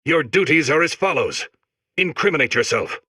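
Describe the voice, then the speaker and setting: A man's voice: a little high, animated, moderate pace, pushed and bright, husky, dry recording, clean studio sound, exaggerated voice. A man voicing a stern, officious robot investigator in a comic game, speaking in clipped formal declarations. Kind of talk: comic video game character acting.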